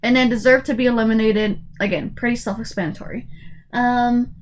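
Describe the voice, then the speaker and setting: A woman's voice: on the high side, steady tone, fairly quick, a little hiss or hum, rough recording. A woman in her twenties talking casually and hesitantly, with many fillers and restarts, her voice going in and out. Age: 20s. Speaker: YouTuber. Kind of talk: casual tier-list commentary.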